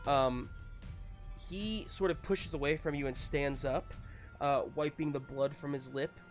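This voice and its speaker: A man's voice: a little high, measured pace, faint music behind. A man speaking as game master, narrating a live tabletop game casually in a hesitant, improvised way.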